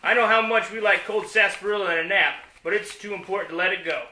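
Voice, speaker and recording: A man's voice: high voice, fast, bright, forceful tone, roomy sound, poor audio. A man playing an earnest, dashing cowboy hero in a comedy western radio play, gallant in manner.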